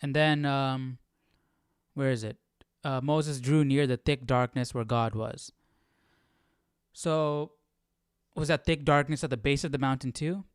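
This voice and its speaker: Casting: male study-stream host, smooth clear voice, close dry sound, informal and questioning. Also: medium pitch, fairly even intonation, measured pace, no echo. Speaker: Bible study livestream host. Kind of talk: casual thinking aloud, reading scripture.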